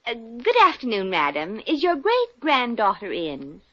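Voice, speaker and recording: An actress playing a brash, wisecracking young working woman with quick sales patter in a 1950s radio comedy. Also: a little high, huge pitch swings, no echo, full character voice. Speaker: lead character. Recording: dull lo-fi old radio broadcast.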